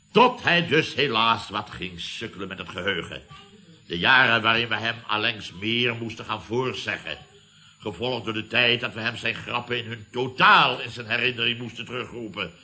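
An actor playing a pompous, nostalgic company boss who orates emphatically, in a Dutch radio comedy serial. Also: male voice, medium pitch, pushed and bright. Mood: wistful, declaiming. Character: bombastic, nostalgic male boss of a building firm.

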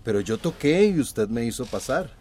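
A man's voice: mid-range voice, sing-song, moderate pace, a little mellow. A man acting an earnest, insistent company loyalist in a radio soap opera. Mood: deferential. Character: earnest, defensive young male company loyalist.

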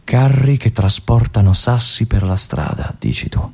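An actor playing a lonely, obsessive army lieutenant in a stage monologue, musing aloud and swinging between brooding and feverish. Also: male voice, fairly low pitch, very animated, normal speed, gravelly, dark tone, breathy edge, full character voice. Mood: calculating. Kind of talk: theatrical monologue for radio.

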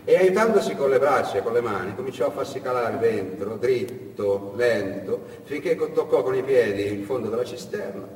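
A man narrating a tale theatrically, with emphatic delivery and dramatic pauses. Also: medium pitch, lively intonation, darkish tone. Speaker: actor and storyteller. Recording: echoey hall, distant mic, faint noise.

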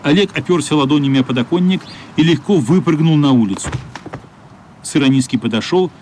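A man's voice: fairly high pitch, brisk, a little mellow, a little hiss or hum, close to an everyday voice. A man narrating a children's radio play as a scripted storyteller. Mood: narrative. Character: male storyteller narrating a wistful children's adventure.

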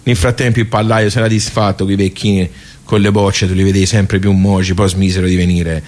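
Male actor as Tuscan social club regular, low-pitched man's voice, garrulous, wry, rueful, colloquial, rambling radio monologue. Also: little pitch movement, brisk, a little mellow, natural voice. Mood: rueful, indignant. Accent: Tuscan Italian. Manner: rambling, colloquial, asking and answering himself.

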